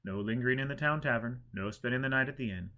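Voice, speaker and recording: A man's voice: medium pitch, quick pace, pushed and bright. A man reading an audiobook, narrating a story from a script. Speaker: audiobook reader.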